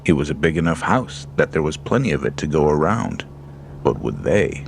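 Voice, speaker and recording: A man narrating a horror story in a slow, measured, hushed storytelling manner. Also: extremely low pitch, lively intonation, vocal fry, background noise. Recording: music bed under voice.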